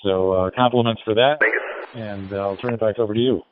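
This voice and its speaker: Low, clear-voiced man over tinny radio. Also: steady tone, a crowd in the background. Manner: halting, polite, spelling out call signs phonetically. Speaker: amateur radio operator. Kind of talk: casual ham radio exchange with call signs. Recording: tinny narrowband digital radio transmission.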